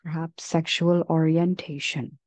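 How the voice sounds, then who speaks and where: A woman leading a guided meditation in a gentle, measured manner, leaving long pauses between phrases. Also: low voice, little pitch movement, no room sound.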